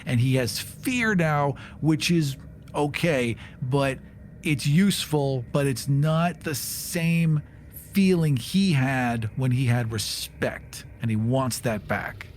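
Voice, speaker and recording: A man's voice: medium pitch, slow pace, a little hiss or hum. A man playing a tabletop game, casually narrating what his character thinks and does.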